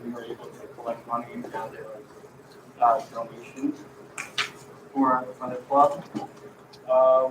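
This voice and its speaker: A teenage boy whose first language is Urdu, giving halting remarks as a student speaker at a public meeting. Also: medium pitch. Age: teens. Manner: halting, with many fillers and restarts. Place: school board meeting room. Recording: echoey meeting room, distant mic, background noise.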